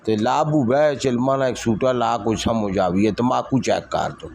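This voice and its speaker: A man's voice: mid-range voice, normal speed, gravelly, background noise, lightly acted. A man playing a chatty, grumbling, hard-up villager in an amateur rural audio drama, speaking colloquially.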